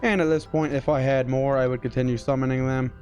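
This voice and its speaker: A young man narrating a gameplay walkthrough in a steady, explanatory way, his voice a little strained from being sick, speaking into a headset mic. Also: mid-range voice, fast, faint music behind. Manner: explanatory, steady tutorial delivery. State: recovering from illness, voice strained. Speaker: game streamer.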